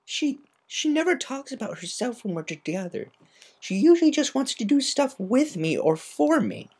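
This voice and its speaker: A woman voicing a brash, troubled young female pony who speaks in a blunt, agitated, halting way. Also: high voice, big pitch swings, a little mellow, breathy edge, voice pushed up, exaggerated voice.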